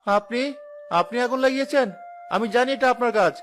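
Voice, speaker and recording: A man's voice: very high-pitched, a little fast, a bit bright, soft background music. A man playing an honest, poor young food-stall owner in a cartoon, wronged and speaking with anguished earnestness. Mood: accusing. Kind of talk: animated cartoon dialogue.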